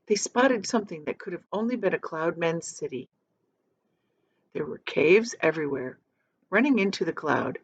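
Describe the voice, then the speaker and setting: A woman teacher reading a children's story aloud in a deliberate, dramatic manner. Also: medium pitch, no room sound, muffled recording. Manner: deliberate, dramatic, shows pictures to listeners.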